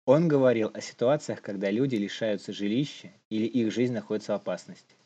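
A man's voice: lowish voice, fast talker, mellow, husky. A man co-hosting a podcast, telling stories casually and reading out news items, on slightly muffled audio. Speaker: podcast co-host.

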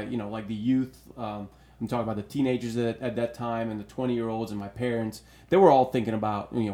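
A man's voice: on the low side, darkish tone. Emphatic man in his thirties from Bulgaria. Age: 30s. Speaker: podcast guest. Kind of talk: casual chat, personal storytelling.

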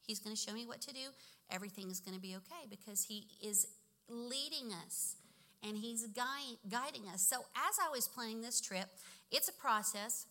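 A woman's voice: a little high, normal speed, bright, forceful tone. A woman in her fifties sharing a personal faith story in a chatty, laughing way, often correcting herself. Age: 50s. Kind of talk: casual testimony talk.

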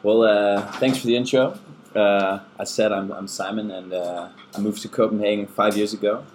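A man in his twenties whose first language is German, answering interview questions in a casual, measured way and often repeating words while he thinks. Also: fairly low pitch, steady tone, a little hiss or hum. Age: 20s. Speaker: podcast guest, fitness coach. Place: busy bar. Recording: bar crowd chatter behind.

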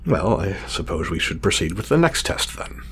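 An actor playing a meticulous male scientist in an audio drama, speaking formally and wordily, halting when flustered. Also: low voice, very animated, a little hiss or hum. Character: meticulous, fussy male scientist testing his drone creation.

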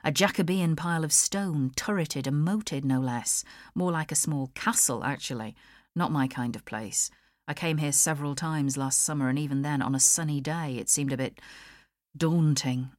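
A woman's voice: low voice, normal speed, dry recording, studio quality, natural voice. A woman voicing a poised professional woman, practical, polite and considerate, in an audiobook. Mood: wry, chatty.

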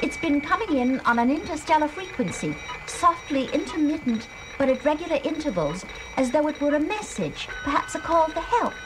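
A woman's voice: sits high, lively intonation, normal speed, noisy background. An actress playing an attentive female communications officer on a moonbase in an audio drama, earnest and dramatic. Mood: intrigued. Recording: loud music behind.

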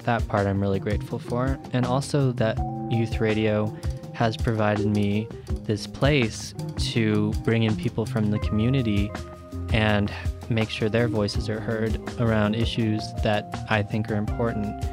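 A man's voice: lowish voice, little pitch movement, unhurried. A young man giving a sincere, grateful radio testimonial, with small ums between his thoughts. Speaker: youth radio reporter. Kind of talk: personal testimonial. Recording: music bed behind the voice.

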